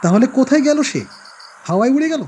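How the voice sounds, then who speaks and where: Man acting a mellow-toned, bossy senior policeman. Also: very high-pitched, expressive, quick pace, a little hiss or hum. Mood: scornful. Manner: scolding, disbelieving. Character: gruff, scornful, corrupt senior police officer. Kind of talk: horror cartoon voice acting.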